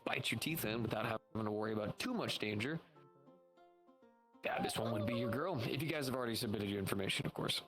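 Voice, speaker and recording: A man's voice: medium pitch, big pitch swings, fast talker, fairly bright tone. A man role-playing online in casual improvised chat, often repeating his phrases. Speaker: role-player as a monster hunter guild member. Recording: music behind the voice. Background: music.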